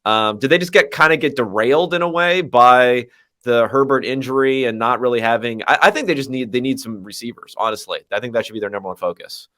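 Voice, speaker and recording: A man's voice: medium pitch, fast talker, bright, forceful tone. A man hosting a sports podcast, chatting casually with wry, sarcastic asides. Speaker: podcast host.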